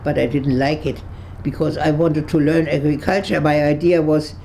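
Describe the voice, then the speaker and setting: A woman over one hundred, from Berlin, recalling her past in an interview, halting and searching for words with frequent restarts, and laughing easily with dry humor. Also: deep voice, fairly even intonation, vocal fry, warm tone, slight background noise. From Berlin, Germany. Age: 100s. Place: her home on a kibbutz.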